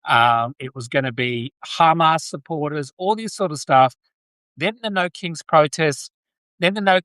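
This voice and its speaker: An Australian man hosting a casual live political commentary stream, speaking in a halting way and often restating his phrases. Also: a little high, fairly bright tone, dry recording. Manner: halting, repeats and restates phrases, breaks off to greet viewers. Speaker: podcast host, political commentator. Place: Brisbane, Australia.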